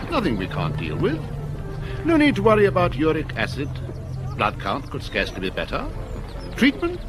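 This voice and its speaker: Brisk, very animated doctor. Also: male voice, medium pitch. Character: brisk, reassuring male spa doctor. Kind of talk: British radio drama acting.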